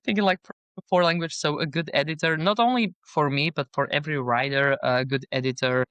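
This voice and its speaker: A woman's voice: sits low, brisk, a bit bright, no echo. A young woman author whose first language is not English, chatting casually in an interview with many fillers and restarts. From Bosnia and Belgium. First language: not English; speaks French, Dutch, Bosnian. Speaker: guest author.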